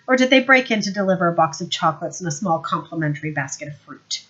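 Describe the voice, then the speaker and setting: Woman reading as a tired sarky sergeant, dry deadpan, muffled audiobook sound. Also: fairly low pitch, quick pace. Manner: dry and sarcastic. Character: weary dry-witted male watch sergeant.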